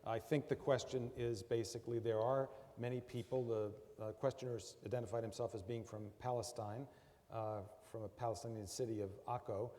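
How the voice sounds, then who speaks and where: A man moderating a university Q&A, calling on askers and restating their questions in a brisk, procedural way. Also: medium pitch, steady tone, dark tone, long reverb tail. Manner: brisk, procedural, with some fillers. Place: large university lecture hall.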